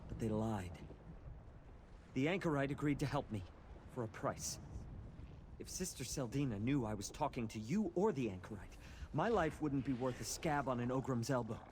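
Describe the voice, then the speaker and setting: A man plays a disgruntled male cult initiate in a fantasy video game, wary and exasperated, with a mid-pitched voice. He grumbles his secrets like someone anxious to get out. Clear background ambience runs under the voice. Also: animated, moderate pace, a little mellow, a little hiss or hum, close to an everyday voice. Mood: indignant, desperate.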